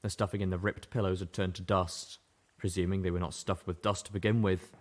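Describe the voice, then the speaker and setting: A man narrating a fan-fiction audiobook with a steady, even-paced reading voice. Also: low-pitched, fairly quick. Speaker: audiobook reader. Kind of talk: scripted story narration.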